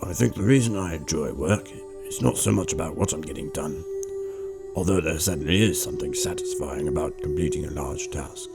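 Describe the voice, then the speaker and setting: A man plays a kindly old countryman with a very deep voice. He is patient and devout, explaining things gently and thoughtfully. The radio drama scene has music clearly playing behind him. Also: fairly quick. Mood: earnest, patient. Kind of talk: amateur radio drama acting. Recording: music under the voice.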